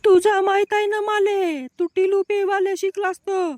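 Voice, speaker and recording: A woman's voice: extremely high pitch, level tone, normal speed, a little mellow, no echo, studio quality. A woman voicing a suspicious, nagging elderly village woman with a rural Marathi accent in a comic cartoon. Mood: nagging suspicion. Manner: nagging, scolding.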